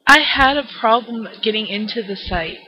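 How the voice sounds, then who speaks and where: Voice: mid-range voice, lively intonation, moderate pace, dull audio. An interview host asking questions in a chatty, informal, somewhat hesitant way.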